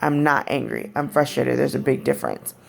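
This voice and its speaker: A young woman with a raspy, deep voice, speaking candidly in a personal vlog. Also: huge pitch swings, a little fast. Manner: candid, frank and conversational. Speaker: vlogger. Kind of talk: personal storytelling to camera.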